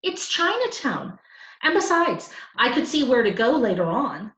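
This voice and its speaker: A woman's voice: on the high side, big pitch swings, a little slow, a bit bright, voice pushed up. A woman voicing an eager, polite young boy from a children's storybook, earnest and pleading. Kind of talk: children's storybook character reading. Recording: roomy, slightly dull sound.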